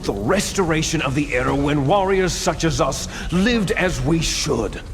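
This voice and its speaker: A man's voice: a little high, animated, a little slow, noisy background. A man playing a bitter, proud soldier villain in a video game, grandiose and taunting, drawing out his words dramatically. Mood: grandiose, impassioned.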